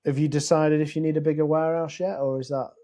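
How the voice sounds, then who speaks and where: A man's voice: fairly high pitch, level tone, fast talker, warm tone. A British man co-hosting a business podcast, talking plainly and swearing as he lays out scenarios at length. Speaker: podcast co-host. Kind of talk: casual business chat. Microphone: podcast mic.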